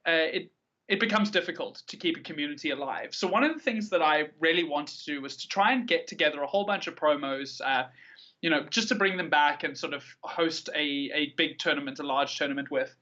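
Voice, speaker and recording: A man's voice: fairly high pitch, fairly quick, sharp tone, highs cut off. A South African man giving long, articulate, enthusiastic answers as a guest in a conversational interview, with a South African accent. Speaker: podcast guest, card game tournament player.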